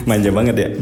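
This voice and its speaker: A man's voice: lowish voice, a little mellow. A man of twenty-one speaking candidly and reflectively in casual interview chat. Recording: echoey room with music behind.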